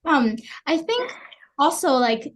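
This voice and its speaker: A woman's voice: sits high, sing-song, slow. A woman hosting a podcast, asking questions eagerly and restarting her sentences often. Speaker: podcast host. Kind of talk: casual interview chat.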